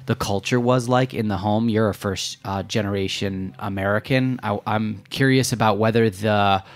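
Low-voiced man asking rambling questions. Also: fairly even intonation, moderate pace. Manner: curious, rambling questions with restarts. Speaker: podcast host. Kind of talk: interview questions.

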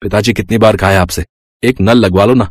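Young farmer son played by a man, cartoon moral story: dutiful, weary, low and soft-spoken, mildly complaining. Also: fairly even intonation, a little fast, no room sound, clean studio sound, lightly acted.

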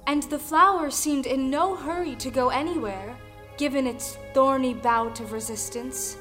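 A woman narrating a folktale audio play with deliberate, dramatic storytelling. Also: high-pitched, fairly bright tone. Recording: music under the voice.

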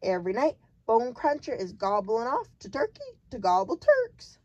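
A woman doing a booming, jolly big giant. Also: huge pitch swings, normal speed, darkish tone, no echo, highs cut off. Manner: booming, mangled grammar, made-up words. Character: huge booming male giant, jolly and ungrammatical.

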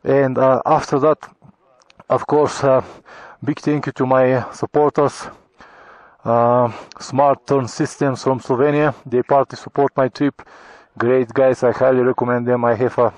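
A middle-aged Bulgarian man talking to his camera on a motorcycle trip, in halting, grateful English full of restarts, recorded outdoors on a helmet or handheld action camera. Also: medium pitch, little pitch movement, darkish tone, no echo, poor audio. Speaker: motorcycle travel vlogger. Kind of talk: casual travel vlog talk to camera. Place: high mountain pass outdoors. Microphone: action camera on helmet or in hand.

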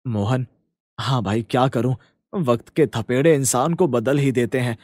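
A man's voice: medium pitch, a little slow, darkish tone, dry recording, clean studio sound, lightly acted. A man voicing a troubled, humble poor village father in a cartoon, speaking in an apologetic, weary manner. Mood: weary, resigned.

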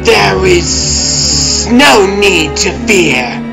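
A man voicing a scheming reptilian villain lord for a comic dub, with drawn-out, menacing, hissing speech. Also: high voice, animated, creaky, exaggerated voice. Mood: smug scheming. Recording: loud music behind.

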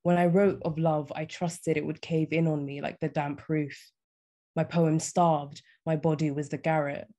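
A young woman poet reading her poems aloud in a measured, deliberate way. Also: sits low, level tone, mellow. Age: young adult. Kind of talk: poetry reading. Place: small indoor room, on a video call.

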